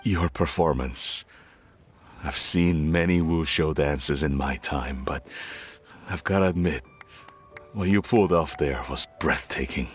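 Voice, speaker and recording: A gruff middle-aged father in a video game. His voice sits very low and he takes his time, halting and a little awkward as he shows a tender, reticent pride. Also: soft background music, exaggerated voice. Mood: earnest, awkward. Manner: halting, sincere, slightly awkward.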